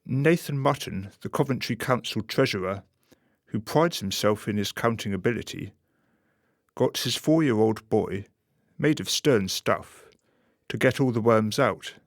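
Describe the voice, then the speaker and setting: Gravelly male radio play narrator. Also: lowish voice, expressive, unhurried, no room sound, clean studio sound.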